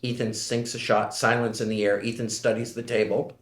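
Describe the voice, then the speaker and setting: A man's voice: on the low side, flat delivery, normal speed. Man reading screenplay directions in echoey room. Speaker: stage directions reader. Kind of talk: scripted screenplay narration at a table read.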